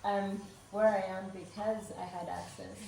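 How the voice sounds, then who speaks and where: A woman's voice: medium pitch, steady tone, unhurried, a little mellow, far from the mic, a little hiss or hum. A middle-aged woman from Uganda tells her personal story to guests at an evening event, earnestly, with many ums. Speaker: speaker at a fundraising evening. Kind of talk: personal story told to a live audience. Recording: very echoey room, voice from afar.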